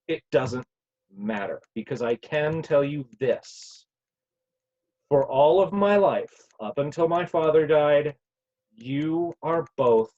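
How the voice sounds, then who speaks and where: A man playing an earnest, grieving grown son from the future in a table read, with a halting, emotional delivery. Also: medium pitch, a little mellow. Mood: tender, wistful. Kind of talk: virtual table read of a play. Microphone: video call. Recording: dull, lo-fi online call audio.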